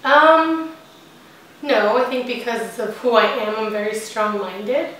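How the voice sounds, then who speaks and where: A woman's voice: on the high side, slow, a bit bright, slight background noise. A woman answering interview questions casually, hesitant, with many fillers and restarts. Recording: echoey room from a distance.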